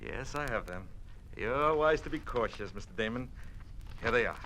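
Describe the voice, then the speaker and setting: An actor playing a smoothly menacing Soviet party envoy in an old radio drama, formal, condescending and commanding. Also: male voice, mid-range voice, lively intonation, normal speed, fairly bright tone, a little hiss or hum, lightly acted, poor audio.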